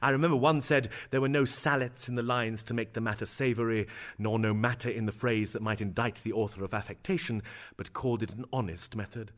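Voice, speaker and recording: A man playing a witty, brooding young prince who speaks Shakespearean lines in a deliberate, theatrical manner. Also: medium pitch, steady tone, lightly acted. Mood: musing, eager. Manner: theatrical, deliberate, shifting from musing to declaiming.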